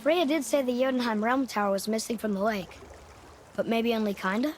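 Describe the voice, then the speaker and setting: A man's voice: very high-pitched, a little fast, a little hiss or hum. A young male actor playing a curious, cocky boy, the son of a god, in a video game. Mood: inquisitive. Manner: inquisitive, youthful, casual.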